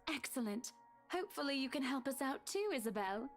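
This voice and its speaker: A gushing, well-to-do middle-aged lady, played by a woman reading a visual novel, with a high, airy voice. She steamrolls the conversation in an overbearing manner, over clearly audible music. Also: lively intonation, brisk. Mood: gushing, brisk. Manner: airy, talks over everyone. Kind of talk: visual novel character read-aloud.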